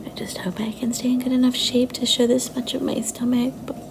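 A sweet young girlfriend voiced by a woman in an intimate ASMR date roleplay, speaking softly in a high voice that drifts into whispers. She is affectionate, coy and a little unsure of herself. Clear ambient noise sits behind her. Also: little pitch movement, brisk. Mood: shy, self-doubting. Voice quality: soft, often slipping into breathy whispers. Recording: noticeable background noise and ambience.

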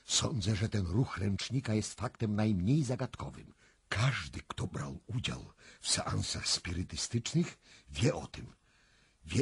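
An actor playing a self-assured, probing clairvoyant investigator in a radio drama, speaking in a formal, deliberate and emphatic husky voice. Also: male voice, on the low side, no room sound, studio quality, full character voice. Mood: grave, assured.

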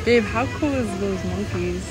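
Casting: American woman, thirties, chatty vlogger, rambling delivery, loud music behind. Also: medium pitch, normal speed, rough recording. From United States. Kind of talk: casual vlog chat. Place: open-fronted street-side cafe. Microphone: handheld phone at arm's length. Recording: music behind, handheld outdoor vlog audio.

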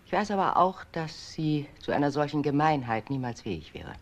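A woman's voice: low-pitched, very animated, a little fast. An actress playing a stern, bitter older mother in a German crime radio drama, speaking in a commanding, cutting way. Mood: indignant, imperious. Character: stern, bitter elderly mother of a business family.

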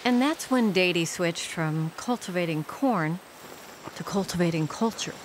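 A woman reading scripted documentary narration in a measured, polished voice-over. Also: lowish voice, expressive, noisy background. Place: studio voice-over. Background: background music.

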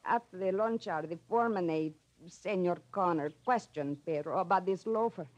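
A woman acting a courteous, earnest elderly mother with a Spanish accent, speaking formal, halting English in a radio drama. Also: on the low side, dull audio, natural voice. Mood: troubled, earnest. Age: older.

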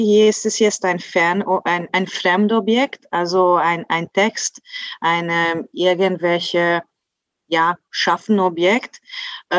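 Slow, halting woman on a dull call. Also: lowish voice, no room sound. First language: Hungarian. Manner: halting, searching for words, many restarts. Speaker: translator, guest. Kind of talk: video call conversation. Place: indoors at home in front of a blue backdrop. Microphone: earphone headset on a video call. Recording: dull lo-fi video call audio.

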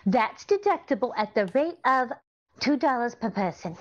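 A scatterbrained comic wife played by a woman speaks with very lively intonation and cheerful, naive certainty. The style is 1940s radio comedy, heard through slightly dull online-call audio. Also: on the high side, moderate pace, close to an everyday voice. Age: middle-aged.

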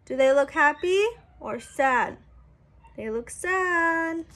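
A young woman, a preschool teacher, reading a picture book aloud to small children in an animated, sing-song, exaggerated way. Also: sits high, takes it slowly. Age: young adult. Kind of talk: read-aloud story time for small children. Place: small room at home, indoors. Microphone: phone at arm's length.

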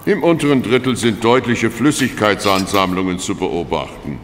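An actor playing a fussy, reluctant medical examiner in an audio drama, pedantic and clinical. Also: male voice, on the low side, normal speed, natural voice. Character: grudging, fussy male provincial medical examiner. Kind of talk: German audio drama acting. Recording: long hall reverb with background noise.

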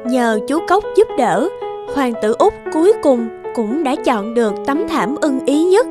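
A woman narrates a scripted fairy tale in a high voice. She, and music plays clearly behind her. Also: sing-song, unhurried. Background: background music.

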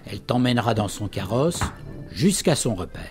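An old village storyteller, played by a man in an audio drama, swinging widely in pitch. He is theatrical and teasing, relishing ominous tales. Music plays clearly behind him. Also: big pitch swings, moderate pace, darkish tone, lightly acted. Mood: ominous storytelling. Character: old Breton father and fireside storyteller, jovial yet ominous. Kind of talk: French audio drama acting.

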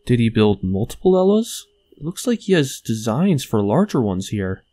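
A young man playing a gentle, concerned friend in a comic dub, speaking in a hesitant, earnest way. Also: mid-range voice, very animated, moderate pace, no room sound. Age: young adult. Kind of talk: animated comic dub acting.

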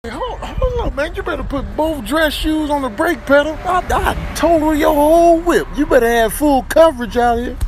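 A man voicing a feisty, defiant dog in a comic voiceover, loud and full of threatening bluster. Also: very high voice, animated, moderate pace, few pauses, slight background noise.

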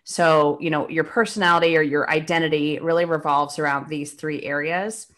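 Low-voiced woman explaining on a video call. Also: level tone, moderate pace, fairly bright tone. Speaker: podcast co-host. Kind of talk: casual conversation and explaining a slide. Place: home living room indoors. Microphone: desk microphone on a video call.

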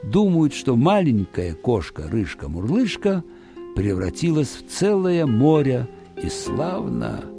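A man narrating a fairy tale for children in an expressive, dramatic storytelling manner. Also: medium pitch, slow, creaky, soft background music.

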